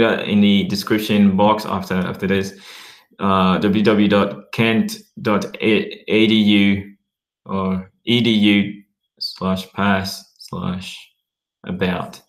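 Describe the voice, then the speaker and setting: Low-voiced man rambling casually. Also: gravelly. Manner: rambling, repeats okay, reads web text aloud slowly. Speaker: vlogger. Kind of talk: casual talk to camera.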